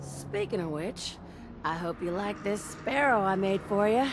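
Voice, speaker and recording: A woman's voice: mid-range voice, expressive, measured pace, background noise, lightly acted. An actress playing a spirited, irreverent woman mechanic in a video game, with casual, teasing, folksy talk. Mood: easygoing, upbeat. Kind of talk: video game character dialogue.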